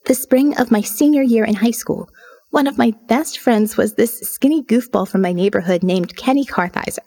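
A woman performs a restless, sardonic young woman confiding in her diary for an audio novel. Her voice sits a little high and has a husky, breathy edge. She is wry and defiant, and she uses dramatic pauses as she confesses. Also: warm tone. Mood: wry, confessional. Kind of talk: dramatic first-person audio fiction narration.

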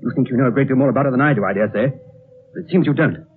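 A man's voice: medium pitch, rapid-fire, close to an everyday voice. An actor playing an impatient, headstrong young man in a 1930s radio drama, speaking with urgent, clipped defiance. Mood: defiant, agitated. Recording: tinny, noisy 1930s radio recording.

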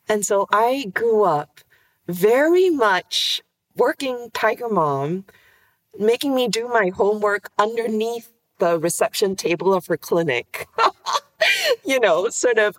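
Animated woman from Singapore, lively pitch. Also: slow pace, poor audio. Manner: animated, laughing storyteller. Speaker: guest cardiologist. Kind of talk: podcast interview answer.

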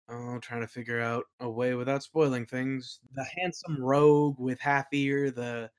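A man with a medium-pitched voice talks casually as a guest in an interview. He thinks aloud as he answers, hesitating and feeling out his wording. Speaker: interview guest, audio play creator.

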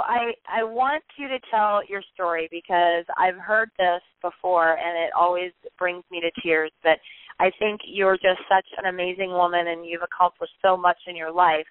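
A woman's voice: on the low side, dry recording. Upbeat, enthusiastic woman host introducing a guest; tinny phone-like sound, dry. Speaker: podcast host. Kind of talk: hosting an interview, reading a guest bio.

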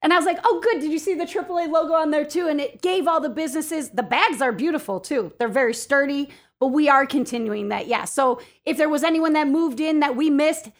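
High-voiced, upbeat American woman. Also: brisk, few pauses. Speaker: chamber of commerce president, interview guest. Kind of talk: casual promotional interview.

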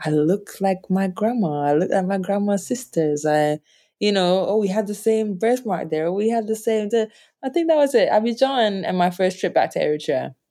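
A young woman answering interview questions, telling personal stories in a relaxed, chatty way and laughing often. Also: normal speed. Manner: animated, laughs often, jumps up in pitch when quoting others. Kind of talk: reflective conversational storytelling.

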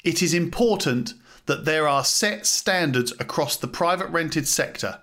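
A man giving a measured, formal read-aloud narration of a written document, as a podcast host. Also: a little high, lively intonation, fairly bright tone.

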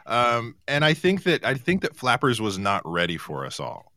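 A man hosting a talk show, chatting casually and telling anecdotes in an animated way. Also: medium pitch, normal speed, no room sound.

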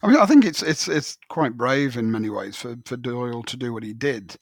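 A man's voice: mid-range voice, expressive, fairly quick, dry recording, clean studio sound. A man co-hosting a conversational book discussion, informal and thinking aloud, repeating words as he makes a point. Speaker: podcast co-host.

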